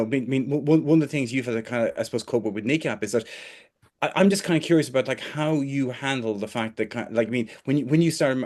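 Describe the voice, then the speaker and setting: A man from Ireland moderating a music-industry panel, asking questions with many hesitations and fillers. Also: mid-range voice. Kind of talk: panel discussion.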